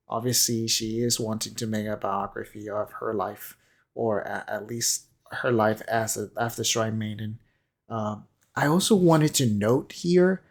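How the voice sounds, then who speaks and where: A man talks through what he is analysing in a casual commentary style. He is hesitant and halting, working out his thoughts aloud at an ordinary middling pitch. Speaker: commentator on a game character trailer.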